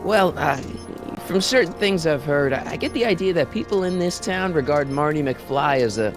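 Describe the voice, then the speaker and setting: A man's voice: on the high side, expressive, moderate pace, music in the background, close to an everyday voice. An actor playing an earnest, puzzled American teenage boy in a video game, speaking casually and hesitantly. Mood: uneasy. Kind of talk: animated video game dialogue acting.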